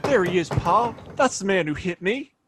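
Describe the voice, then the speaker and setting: A man's voice: high voice, expressive, normal speed, background noise. A man playing a bratty young sheriff, a town boss's spoiled son, in a Western audio drama, with whiny, folksy speech. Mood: whiny accusing. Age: young adult.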